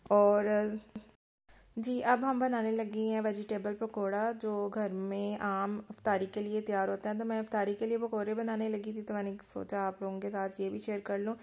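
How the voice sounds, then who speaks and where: Gravelly-voiced woman explaining a recipe. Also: medium pitch, a little mellow. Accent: Pakistani Urdu. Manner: plain step-by-step instructions with kitchen tips. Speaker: cooking channel host. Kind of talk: recipe voice-over narration.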